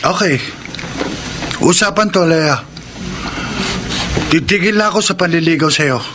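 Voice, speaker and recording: A man's voice: fairly high pitch, measured pace, noisy background, close to an everyday voice. An actor playing an earnest, persistent young man in a Filipino radio drama, with a Filipino accent. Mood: insistent. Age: young adult. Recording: faint music behind the voice.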